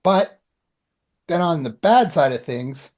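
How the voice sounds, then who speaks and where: A man's voice: on the high side, expressive, takes it slowly, darkish tone. A man telling anecdotes in a rambling, informal way as a podcast co-host. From Canada. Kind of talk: casual chat, anecdotes.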